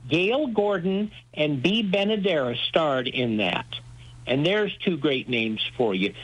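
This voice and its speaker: A man's voice: a little high, animated, unhurried, low-quality recording. A man talking enthusiastically as a radio host being interviewed, with an emphatic, folksy storytelling manner. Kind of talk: enthusiastic interview talk about old-time radio.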